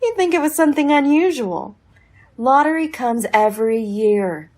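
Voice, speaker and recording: A woman playing a brisk, practical farm wife in a radio play, chiding and matter-of-fact. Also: high-pitched, animated, darkish tone.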